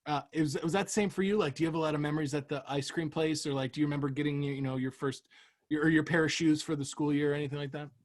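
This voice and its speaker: A man in his thirties hosts a podcast and tells rambling childhood stories. He laughs often, and his voice sits a little higher than most men's. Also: steady tone, very fast. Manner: chatty storyteller, laughs often. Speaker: podcast host. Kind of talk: casual chat.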